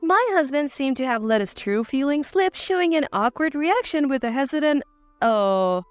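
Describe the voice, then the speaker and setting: A woman's voice: sits high, lively intonation, normal speed, dry recording, soft background music. A woman reading a scripted first-person dramatic story as narrator.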